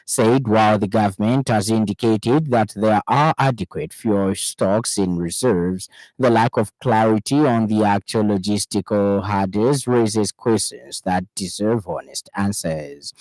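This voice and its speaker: Male radio newsreader, Zambian accent, lower register, formal scripted delivery, dry studio sound. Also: a little mellow, no room sound, low-quality recording. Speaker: radio news reader. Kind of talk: scripted news bulletin reading.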